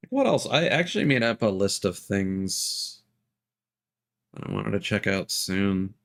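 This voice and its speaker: A man's voice: on the low side, very animated, a little slow, gravelly, a bit bright. A man giving casual, rambling commentary as he streams a video game live.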